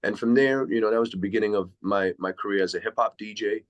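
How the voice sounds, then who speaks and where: Man from switzerland, deep low voice, reflective, rambling podcast guest. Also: fast, dull audio. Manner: reflective, long winding answers. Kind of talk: casual interview storytelling.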